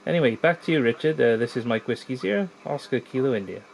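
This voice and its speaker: A man talking casually and in a measured, easygoing way as an amateur radio operator. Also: medium pitch, vocal fry. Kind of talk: casual ham radio chat with call signs. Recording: faint radio hiss in the room.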